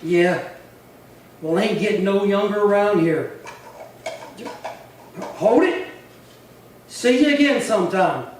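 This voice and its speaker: A proud, feuding cowboy played by a man talks in a high voice and rough Old West dialect. The radio-play performance rings with echo and sits back from the mic. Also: animated, takes it slowly, pauses often. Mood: subdued, resigned. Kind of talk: Western radio drama acting. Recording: echoey hall, distant mic, faint noise.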